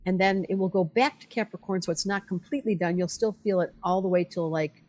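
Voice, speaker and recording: An older woman astrologer talking bluntly in a casual conversation, animated and acting out the voices of the people she quotes. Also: fairly low pitch, a little fast. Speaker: astrologer guest.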